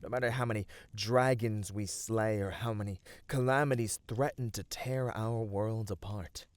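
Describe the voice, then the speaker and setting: A man playing a gentle, devoted male battle dancer of a fantasy race in an ASMR roleplay, speaking earnestly and soothingly with dramatic pauses. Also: lowish voice, steady tone, no room sound, close to an everyday voice. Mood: tender concern. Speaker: voice actor.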